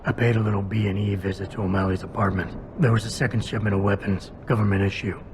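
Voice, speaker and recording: A low-voiced, gruff cyborg investigator, acted by a man, speaks in terse, stoic lines with dry confidence. It is video game dialogue over faint background noise. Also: fairly even intonation, fast talker, close to an everyday voice. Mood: matter-of-fact.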